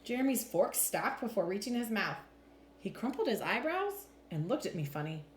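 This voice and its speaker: Animated woman reading in an echoey room. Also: mid-range voice, normal speed. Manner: animated, clearly acts out lines. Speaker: storytime reader, teacher. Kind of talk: expressive storybook read-aloud. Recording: echoey room with faint background noise.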